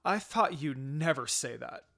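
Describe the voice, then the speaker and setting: A man voicing a spirited young female ninja in a story, expressive and quick to tease or snap. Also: medium pitch, creaky, soft background music. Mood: weary, teasing.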